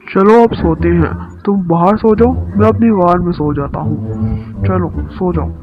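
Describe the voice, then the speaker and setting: A man's voice: high voice, normal speed, close to an everyday voice. A man voicing a greedy, bossy, grumbling bear in a children's cartoon story. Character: greedy, grumbling male bear who threatens to eat a girl. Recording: dull sound with music bed.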